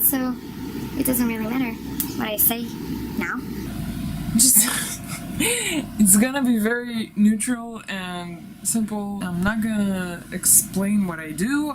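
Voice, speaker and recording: A woman's voice: fairly high pitch, noisy background. A woman from Russia with a Russian accent and Russian as her first language, chatting casually to camera as a vlogger in a rambling, giggly manner. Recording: music behind the voice. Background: background music.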